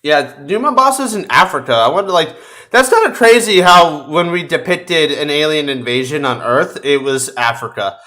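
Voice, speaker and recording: A man's voice: fairly high pitch, animated, moderate pace, sharp tone. A man hosting a podcast, chatting casually about video games in slangy, sweary bro talk. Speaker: podcast host.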